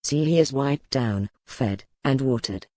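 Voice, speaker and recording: A man playing a grim, weary, commanding medieval duke in an audiobook, speaking in formal, measured phrases. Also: mid-range voice, a little mellow, dry recording, natural voice. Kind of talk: dramatized audiobook dialogue.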